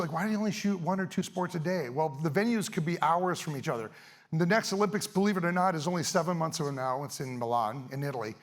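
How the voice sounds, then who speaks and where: A middle-aged man, a little hoarse, giving a fast, energetic, casual talk to a live audience on an event stage. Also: fairly high pitch. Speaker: event speaker, sports photographer.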